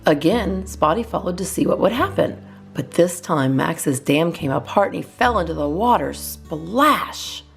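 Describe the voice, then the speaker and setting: A woman narrating a children's picture book as a storyteller, in an expressive scripted reading. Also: fairly low pitch, unhurried, gravelly, faint music behind. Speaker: children's storyteller, channel host.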